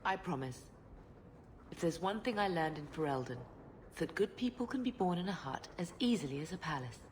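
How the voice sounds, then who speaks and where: A woman voicing a genteel, wistful middle-aged mother in a video game, speaking in a gentle, reflective way. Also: lowish voice, animated, fairly quick, slight background noise, natural voice. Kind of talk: video game dialogue acting.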